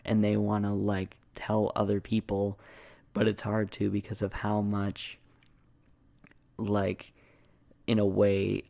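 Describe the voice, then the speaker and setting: A young man speaks softly in an ASMR ramble, with a low voice that stays extremely even. His delivery is casual and hesitant, wandering from phrase to phrase with lots of filler words. The sound is very dry and close. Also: vocal fry, dry recording. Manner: casual, hesitant, meandering, frequent "like". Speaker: ASMR video creator. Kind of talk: soft-spoken ASMR rambling.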